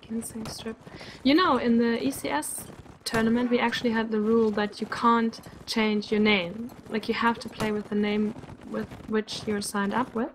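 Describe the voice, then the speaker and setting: Woman, esports commentator, a bit sick, chatty, laughs a lot, higher voice, faint background noise. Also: flat delivery, normal speed, rough recording. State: sick, slightly rough voice. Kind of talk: casual live game commentary.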